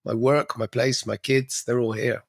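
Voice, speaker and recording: A man's voice: mid-range voice, level tone, normal speed, vocal fry, dry recording, clean studio sound. A middle-aged man, talking reflectively as a podcast guest with frequent restarts. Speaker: podcast guest, cultural coach. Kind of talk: casual interview conversation.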